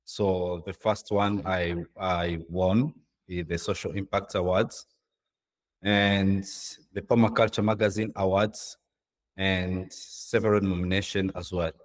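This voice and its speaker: A man with a deep voice gives an informal presentation as a guest on a video call. His delivery is earnest and halting, with non-native English phrasing. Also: flat delivery. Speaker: guest speaker, social entrepreneur. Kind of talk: informal presentation of own work.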